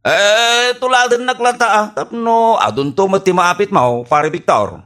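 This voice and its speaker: A man's voice: high-pitched, measured pace, a bit bright. An actor with an Ilocano accent playing a stubborn, amorous farmer husband in a radio comedy drama, drawing out his words and interjections. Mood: stubborn, protesting.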